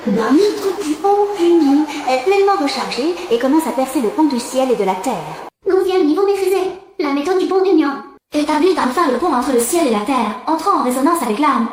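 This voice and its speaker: A woman as a childlike system spirit in an animated drama. The voice is very high and echoey, proclaiming like an announcer. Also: brisk, slight background noise. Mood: announcing. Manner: declarative, announcing.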